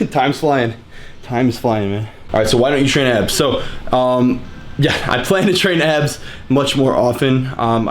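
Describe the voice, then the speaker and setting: A young American man in his late teens talks quickly in a laid-back, informal way. His pitch is mid-range for a man, and he sounds like a fitness vlogger chatting through questions, with music playing behind him. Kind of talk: casual chat, Q&A vlog.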